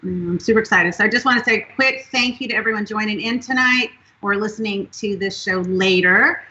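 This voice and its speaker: A woman's voice: medium pitch, fairly quick, bright, forceful tone. A woman from Texas hosting a casual talk show over a video call, bubbly and gushing, laughing often. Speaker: host. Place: living room, indoors. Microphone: webcam on video call. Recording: dull lo-fi video call audio.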